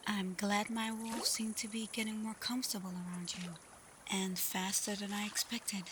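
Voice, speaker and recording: A woman playing a caring, teasing female alpha werewolf in an intimate, softly spoken ASMR roleplay. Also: medium pitch, flat delivery, background noise, close to an everyday voice. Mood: warm, calm. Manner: playful, unhurried, soft, at times whispering. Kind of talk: ASMR roleplay, intimate acting addressed to listener.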